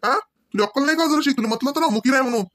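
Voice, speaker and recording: A man's voice: very high voice, very fast, a bit bright, no echo, clean studio sound. A man playing a cranky older village husband in a Marathi comedy cartoon, with a rural Maharashtrian accent, hectoring his wife with rhetorical questions. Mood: scolding, exasperated. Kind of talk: comic cartoon dialogue, domestic argument.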